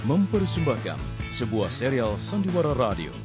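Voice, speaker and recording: A man's voice: mid-range voice, sing-song, moderate pace. A man announcing in a scripted radio drama style, as if presenting credits. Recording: loud guitar music behind.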